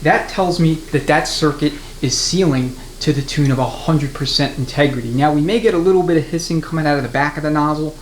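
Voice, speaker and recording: A man's voice: fairly high pitch, brisk, hardly any pauses. A man giving an unscripted, hands-on mechanical tutorial, explaining each step methodically in plain-spoken terms. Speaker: transmission mechanic giving a how-to demonstration. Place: indoors at a transmission workbench. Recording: roomy echo, clear background noise.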